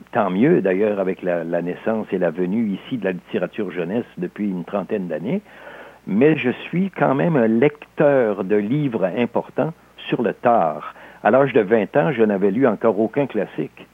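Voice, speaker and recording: Older husky-voiced Québécois man, measured. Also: fairly low pitch, expressive, narrow, tinny audio. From Alsace, France. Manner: measured, halting with frequent hesitations. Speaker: interview guest, novelist. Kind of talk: reflective interview talk.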